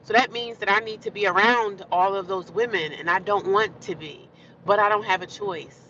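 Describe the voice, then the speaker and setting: A woman's voice: medium pitch, moderate pace, a bit bright, dull audio, a little hiss or hum. A woman livestreaming from her car while driving, venting in a blunt, rambling, talkative way that circles back and repeats itself. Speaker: livestreaming vlogger.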